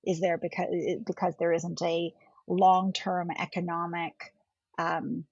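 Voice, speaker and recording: A middle-aged woman whose first language is German, speaking as a political scientist guest on a podcast in a thoughtful, measured way. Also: fairly low pitch, flat delivery, creaky, darkish tone, husky, no room sound. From India. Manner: thoughtful, measured, often rephrasing. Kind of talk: podcast interview. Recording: dull lo-fi podcast audio.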